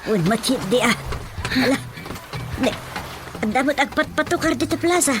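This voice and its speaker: A woman acting as a nosy, homely mother with a high voice and an Ilocano accent. It is radio comedy acting, with music clearly playing behind her. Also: normal speed, lightly acted. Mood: chatty. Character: Rosa's mother, nosy homely Filipino matron.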